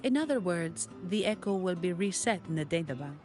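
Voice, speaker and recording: An actress playing a level-headed investigator in a video game, explaining things in a composed, matter-of-fact way. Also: female voice, fairly low pitch, expressive, a little fast, music in the background, natural voice. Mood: composed, thoughtful. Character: level-headed female investigator guiding a foreign guest.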